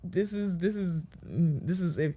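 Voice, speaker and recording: A woman therapist, speaking in a measured, affirming way on a podcast. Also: lowish voice, mellow, slight background noise. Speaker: therapist guest. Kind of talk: reflective podcast conversation.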